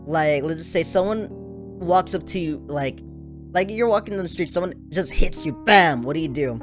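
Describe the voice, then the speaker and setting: A chatty stream host who keeps breaking into giggles, with a voice that sits at an ordinary middle pitch. Plucked guitar music plays clearly behind the talk. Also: moderate pace. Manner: giggly, chatty, teasing questions. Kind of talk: casual streamed chat.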